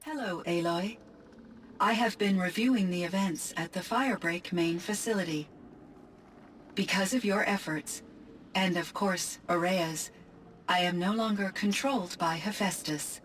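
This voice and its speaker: A woman playing a gentle, thoughtful artificial intelligence in a video game, speaking in measured, formal phrases. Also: on the low side, slight background noise, lightly acted. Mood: welcoming, measured. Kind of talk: scripted video game dialogue. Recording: music behind the voice.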